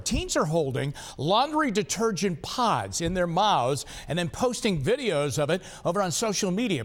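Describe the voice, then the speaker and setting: A man's voice: sits high, fairly bright tone. An American man presenting live television news as the anchor in a studio.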